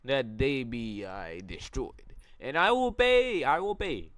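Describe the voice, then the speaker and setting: A man voicing a scheming, nervous villain, a royal advisor who stammers and hesitates in a loud, strained voice while reading a story aloud. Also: mid-range voice, big pitch swings, dry recording, voice pushed up. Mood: eager, forceful. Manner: stammering, halting, full of hesitations.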